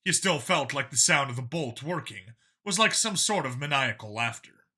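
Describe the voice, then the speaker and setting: A man's voice: mid-range voice, lively intonation, normal speed, sharp tone, slightly rough. A man reading a scripted science fiction story aloud as a dramatic audiobook narrator.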